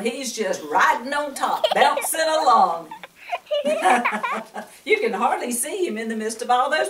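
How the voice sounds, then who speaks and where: An older woman reading a story and teaching a Bible lesson to children in a grandmotherly way, with questions and asides. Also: high-pitched. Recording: echoey room, distant microphone.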